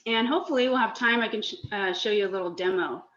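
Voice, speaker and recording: Chatty forties chef, echoey kitchen, dull call audio. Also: female voice, medium pitch, quick pace, fairly bright tone. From Northern California. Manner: friendly, chatty, a little nervous. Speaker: guest speaker, personal chef. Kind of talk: casual presentation on a video call. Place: home kitchen. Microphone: laptop or webcam mic on a video call.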